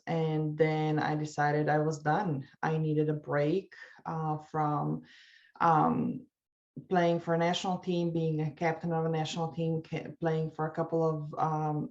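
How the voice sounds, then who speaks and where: A woman's voice: sits low, darkish tone. Chatty Slovenian-accented woman, lo-fi. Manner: chatty, laughs easily, goes off on tangents. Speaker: guest, life coach. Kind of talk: casual interview chat.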